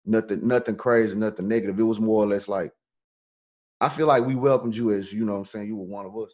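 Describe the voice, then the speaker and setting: A man's voice: lowish voice, steady tone, quick pace, a little mellow. A man hosting a casual chat, laid-back and slangy. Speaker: host.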